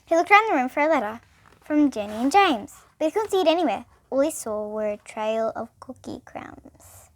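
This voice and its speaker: A woman's voice: high-pitched, animated, moderate pace. A young girl reading a children's bedtime story aloud, expressively and with small stumbles. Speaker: storybook reader.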